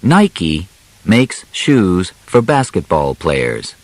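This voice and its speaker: Male narrator for a simplified audiobook: very unhurried, clearly articulated phrasing, lively intonation, dry close sound. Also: low-pitched, no room sound. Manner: deliberate, carefully enunciated, short phrases with pauses.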